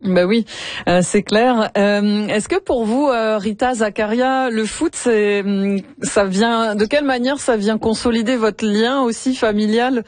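A man's voice: high-pitched, fairly even intonation, a little slow, no echo. A man hosting an interview, asking long questions with many fillers. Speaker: host. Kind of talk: radio interview.

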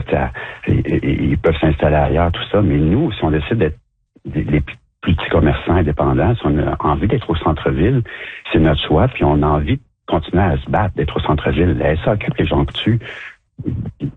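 A very deep, husky Quebec French man, a shop owner from Montreal, answers a radio host's questions. He talks haltingly, restarting and filling pauses as he goes. The sound is dry and narrow, like a phone line. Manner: hesitant, many restarts and fillers. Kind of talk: radio interview guest.

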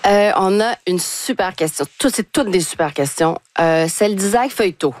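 A woman hosting a talk show, upbeat and brisk, introducing questions and prompting her guest. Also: mid-range voice, animated, darkish tone. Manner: brisk, upbeat, many brief acknowledgements. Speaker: host.

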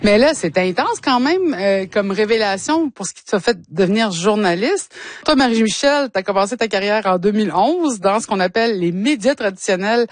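A woman's voice: mid-range voice, lively intonation, normal speed, dry recording. A woman with a Quebec French accent hosting a conversational interview, asking her guests questions in a friendly, chatty way. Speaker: interview host.

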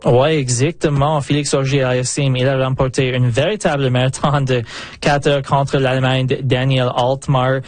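A man's voice: mid-range voice, flat delivery, moderate pace, dry recording. A man giving a live radio sports update as a reporter, stumbling over words now and then.

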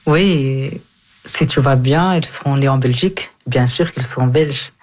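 A man's voice: medium pitch, a little slow. Young man answering casually. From Afghanistan. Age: young adult. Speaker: interviewee.

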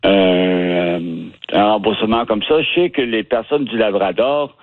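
Halting Canadian French man on phone. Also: on the low side, dry recording. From Ottawa region, Canada. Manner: halting, often restarts words while searching for them. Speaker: interview guest. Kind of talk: casual phone interview. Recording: tinny dry phone line.

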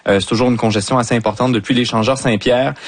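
A man's voice: medium pitch, steady tone, quick pace, dry recording. A man giving a live, conversational traffic report.

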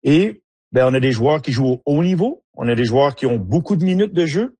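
Husky soccer analyst, hesitant speech. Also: male voice, a little high, expressive, a little fast, dark tone, no room sound. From Haiti. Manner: hesitant, many fillers and restarts. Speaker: soccer analyst and former player. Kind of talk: radio interview answers.

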